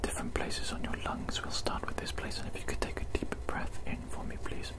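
A man in his thirties whispers close to the mic as a doctor in an ASMR exam role-play, unhurried and repetitive with dry condescension. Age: 30s. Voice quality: breathy, whispered. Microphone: close microphone.

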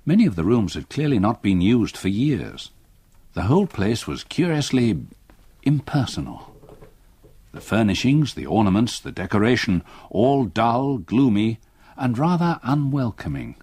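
An older man with an English accent, an actor playing a grave retired solicitor narrating his haunted memories in a radio drama, measured and reflective. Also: mid-range voice, big pitch swings, darkish tone, no echo, studio quality. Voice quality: husky. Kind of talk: literary first-person radio drama narration.

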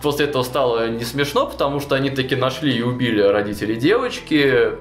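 A young man talks through a long story in a small studio, animated and a bit rambling, filling gaps with fillers and restarting phrases. His voice sits at a middling pitch and rings in a roomy space, with faint music under it. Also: fast talker. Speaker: storyteller recounting a tabletop game campaign. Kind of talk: casual retelling to a co-host. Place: small indoor studio with chalkboard wall.

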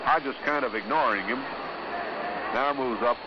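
A man's voice: medium pitch, a little slow. An American man calling baseball play-by-play in a 1950s radio commentary style. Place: ballpark broadcast booth. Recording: noisy, dull 1950s radio broadcast.

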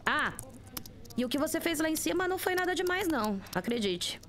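An actress playing a cool, blunt young hacker in a Brazilian audio drama, matter-of-fact and assertive. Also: female voice, high voice, sing-song, fairly quick, a bit bright, a little hiss or hum. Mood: curt, dismissive. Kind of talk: narration and audio drama acting.

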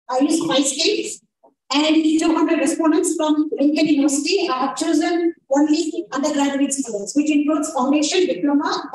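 A woman's voice: high voice, darkish tone. A woman lecturer presenting a conference paper in English, her second language, hesitant with many fillers and restarts. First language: not English. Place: large conference ballroom. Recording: echoey ballroom from far off.

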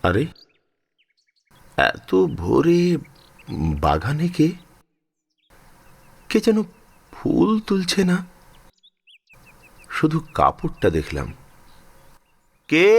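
A man's voice: a little high, wildly swooping pitch, extremely slow, pauses often, full character voice. An actor playing an affectionate, fussing father-in-law of sixty-five in a Bengali audio drama, emphatic and repeating his words as he scolds protectively. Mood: concerned, calling out. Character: affectionate, fussing 65-year-old father-in-law, devout household elder.

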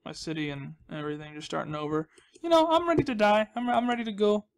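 A man's voice: sits high, steady tone, fast talker, vocal fry. A young man giving casual, rambling commentary as he hosts a gaming video, with a goofy, self-deprecating, mock-dramatic manner.

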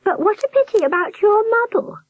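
Shrill, warbling, sugary nurse. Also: female voice, animated, a little fast, no room sound, rough recording. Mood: sugary dismay. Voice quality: high-pitched, warbling. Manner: gushing, falsely sweet. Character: giant nurse with sugary, warbling, high-pitched gushing voice. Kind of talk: audiobook character voice.

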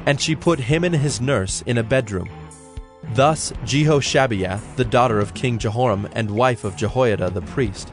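Guy narrating the bible, solemn and measured, mid-range voice, soft music behind. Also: mid-range voice. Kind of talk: scripted dramatized Bible narration.

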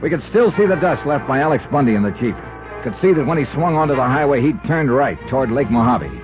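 An actor playing a tough, level-headed investigator, firm and clipped, in a 1950s radio drama. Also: male voice, medium pitch, animated, fast, lightly acted. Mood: narrating, tense. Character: tough, level-headed male investigator telling his own case. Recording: muffled 1950s radio recording with music.

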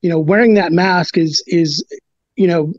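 A man in his thirties explains things in a casual, halting way, full of fillers and restarts. His voice sits fairly high for a man. The sound is dry and a bit dull, like a webcam call. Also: no room sound. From United States. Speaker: brewer, interview guest. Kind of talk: casual interview talk.

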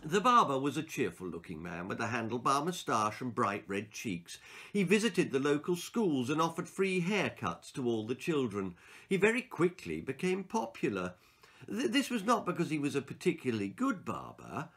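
Animated older male storyteller, bright voice. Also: mid-range voice, moderate pace, slightly rough. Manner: expressive, theatrical, emphatic. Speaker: author reading his own children's stories. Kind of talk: dramatic children's storytelling. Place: small book-lined room indoors.

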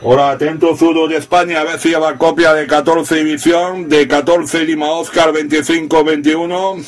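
A man's voice: on the high side, little pitch movement, normal speed, soft background music. A man working as an amateur radio operator, talking in call signs and phonetic spelling during a contact.

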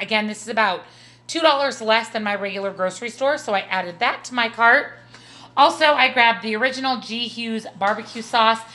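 A woman's voice: a little high, sharp tone. A woman in her thirties with an American accent, casually narrating a haul video at home as a vlogger.

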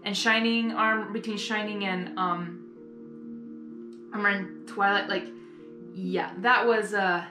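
A young adult woman giving casual, rambling reaction commentary in an earnest, halting, apologetic way. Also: mid-range voice, fairly even intonation. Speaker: reaction video host. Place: small bedroom, indoors. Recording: echoey room, music behind.

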